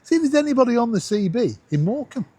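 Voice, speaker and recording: A middle-aged man with a husky voice, chatting casually and excitably as he hosts a vlog. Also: very high-pitched, fast, background noise. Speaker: vlog host. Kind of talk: casual chat to camera while testing a radio.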